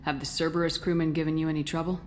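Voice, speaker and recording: An actress playing a tough, loyal military commander in a video game, speaking firmly and directly. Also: female voice, low voice, level tone, rapid-fire, slight background noise, close to an everyday voice. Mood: firm, probing. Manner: firm, direct. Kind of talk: video game dramatic acting.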